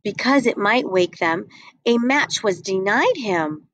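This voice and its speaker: A woman's voice: mid-range voice, animated, slow pace, a bit bright. A young woman reading a picture book aloud, expressively, on a webcam at home. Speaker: reader.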